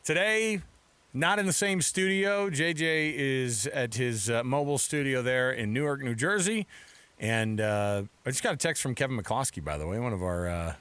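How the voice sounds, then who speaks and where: A man's voice: mid-range voice, animated, normal speed, a bit bright, clean tone, dry recording. A man hosting a sports talk radio show, chatting informally in a casual, bantering way from a studio. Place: radio studio in Salt Lake City. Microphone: close studio microphone.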